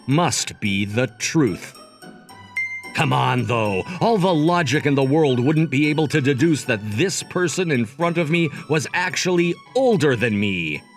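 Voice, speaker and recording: Male actor as smug young logic geek, theatrical manga-dub narration, very expressive intonation, background music. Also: mid-range voice, natural voice. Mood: teasing, incredulous. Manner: smug, wordy, theatrical, dramatic asides. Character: cocky, logic-obsessed young male job seeker, teasing romcom narrator. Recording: music behind the voice.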